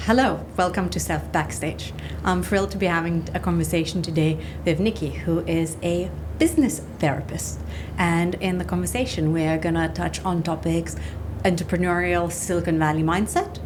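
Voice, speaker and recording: Low-voiced woman hosting, noisy background. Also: fairly even intonation, normal speed. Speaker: podcast host, interviewer. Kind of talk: conversational interviewing.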